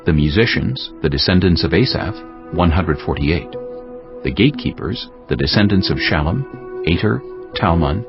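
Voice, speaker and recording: A man narrating scripture for an audiobook in a measured, formal manner. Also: low-pitched, lively intonation, vocal fry. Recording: dull lo-fi audio under prominent music.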